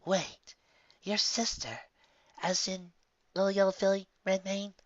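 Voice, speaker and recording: A narrator puts on a female pony spa worker, raised in pitch and gentle in delivery. The figure sounds flustered and defensive, hesitating and pleading. The recording is dry and a little dull. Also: steady tone, unhurried, no room sound, lightly acted. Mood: confused, defensive. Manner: hesitant, soft-spoken, pleading.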